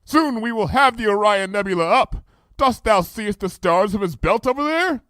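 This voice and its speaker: A man's voice: high voice, expressive, normal speed, a bit bright, no room sound, studio quality, voice pushed up. Man doing a regal archaic pony princess. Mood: grand. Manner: old-fashioned formal speech, royal we.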